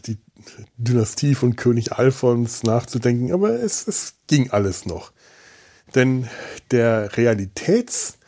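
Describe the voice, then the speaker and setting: A man speaking casually as a podcast host, thinking aloud in a halting way and repeating words as he searches. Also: medium pitch, mellow.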